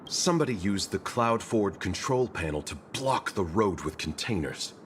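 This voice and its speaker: An actor playing a courteous, stoic traveling merchant in a video game, speaking formally and with composure. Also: male voice, lowish voice, expressive, normal speed, a bit bright, slight background noise. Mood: calm, mild. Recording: music behind the voice.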